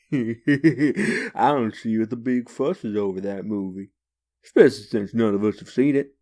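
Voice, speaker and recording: A man's voice: mid-range voice, a little slow, a little mellow, lightly acted. A man playing a joking, chummy vagrant drinking with his pals in a comic dub, with folksy, slangy banter. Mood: jovial, teasing.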